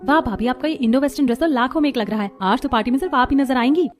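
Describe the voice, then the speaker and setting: A woman voicing polite young women of an Indian family in a cartoon story, with expressive delivery. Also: sits high, racing, soft background music, natural voice. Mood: admiring. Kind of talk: scripted cartoon story dialogue.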